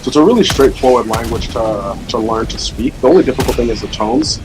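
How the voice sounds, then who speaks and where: A man's voice: medium pitch, lively intonation, fast, background noise. A man chatting casually on a livestream, explaining things in an informal, hesitant, rambling way. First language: Somali. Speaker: livestream host. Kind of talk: casual chat, explaining language learning. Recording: music behind the voice.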